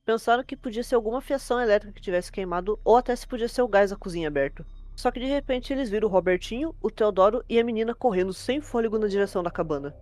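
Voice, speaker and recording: A woman's voice: medium pitch, expressive, fast talker, hardly any pauses, a little mellow, dry recording. A woman with a Brazilian accent narrating a horror tale as host, in an informal, fluent, conversational way. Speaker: host and storyteller.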